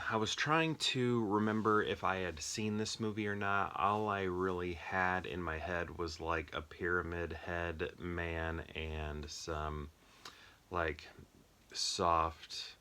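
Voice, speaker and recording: A man giving a casual, off-the-cuff film review, rambling and often pausing to search for words. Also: low voice, bright, forceful tone.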